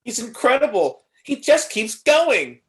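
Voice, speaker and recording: A performer voicing an excitable, hero-worshipping little robot sidekick in an amateur script table read, with gushing exclamations. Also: medium pitch, a little slow. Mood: awed, excited.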